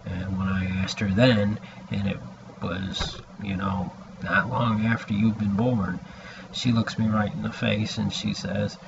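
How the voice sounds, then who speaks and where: A man in his forties with a gravelly voice, giving a halting, rambling personal message to a webcam at home. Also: on the low side, steady tone. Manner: halting, hesitant, with long pauses. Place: small room indoors at home. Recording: dull lo-fi webcam audio, background noise.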